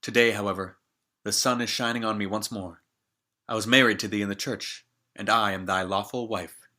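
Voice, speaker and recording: A man voicing a meek young maiden in a storybook reading, gentle and solemn in manner. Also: on the low side, fairly even intonation, moderate pace. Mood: solemn, earnest. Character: meek, earnest young maiden, true bride.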